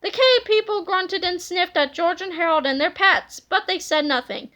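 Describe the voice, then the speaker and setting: A woman narrating a children's storybook aloud in an expressive read-aloud style. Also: extremely high pitch, moderate pace.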